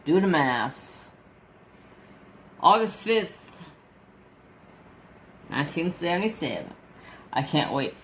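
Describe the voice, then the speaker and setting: Slow-talking man in his forties. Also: fairly high pitch, very animated, a bit bright, a little hiss or hum. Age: 40s. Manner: rambling, chatty storytelling with restarts. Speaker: crafting vlogger. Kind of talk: casual chat while crafting.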